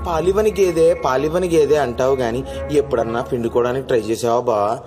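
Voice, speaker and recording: An actor playing a boastful, teasing brother-in-law in a comedy film, smug and mocking. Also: male voice, medium pitch, a little fast, natural voice. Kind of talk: Telugu comedy film acting. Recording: film audio with music behind.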